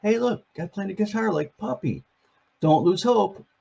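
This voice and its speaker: Husky older man reading to grandkids. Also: high-pitched, lively intonation, moderate pace, warm tone. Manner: chatty, explains each page in plain words. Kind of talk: reading a picture book to grandchildren.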